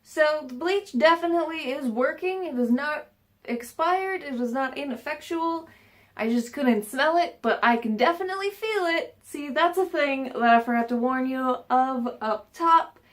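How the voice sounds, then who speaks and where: A woman's voice: high-pitched, normal speed. A woman vlogging a casual how-to from her bathroom, rambling with fillers and joking asides, a little stuffed up. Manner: chatty, rambling, frequent fillers and self-mocking asides. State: a bit stuffed up. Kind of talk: casual how-to vlog chat. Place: home bathroom.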